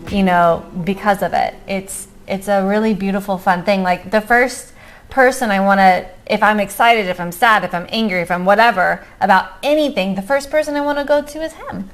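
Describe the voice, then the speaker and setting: A woman's voice: medium pitch, normal speed. A middle-aged woman co-hosting a casual vlog chat, talking with enthusiasm and supportive agreement. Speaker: co-host of a couple's book-review vlog.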